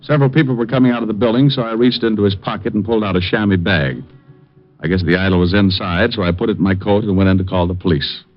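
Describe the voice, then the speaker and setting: An actor playing a wisecracking private detective who narrates, dry and laconic, in a 1950s radio drama, on a muffled old recording with music. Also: male voice, on the low side, fast, close to an everyday voice. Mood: narrating.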